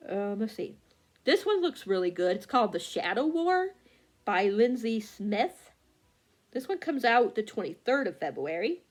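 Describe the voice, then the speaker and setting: Woman, book vlogger, mid-pitched voice, casual rambling chat, halting, scattered, self-correcting. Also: very animated. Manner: halting, scattered, often losing her thread and correcting herself.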